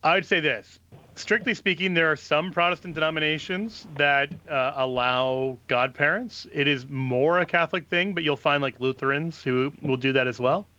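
A man guesting on a radio call-in show, explaining answers in a measured way. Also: on the high side, sharp tone, muffled recording. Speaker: studio guest.

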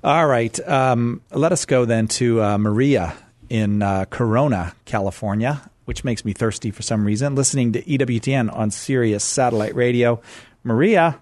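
A man hosting a live radio show in a chatty, joking, somewhat halting casual style. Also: mid-range voice, a little mellow, no echo.

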